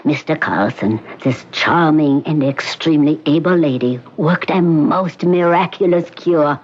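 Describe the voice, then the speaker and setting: An actress playing a doctor, speaking in a formal, measured, clipped way. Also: female voice, deep voice, a little mellow, dull audio.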